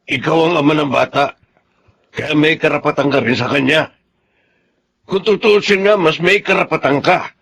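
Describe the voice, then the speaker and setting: A man's voice: on the high side, normal speed, vocal fry, pauses often, a bit bright, slightly rough, exaggerated voice. A man acting in a Filipino radio drama as a stern, domineering elderly father and grandfather who admonishes insistently.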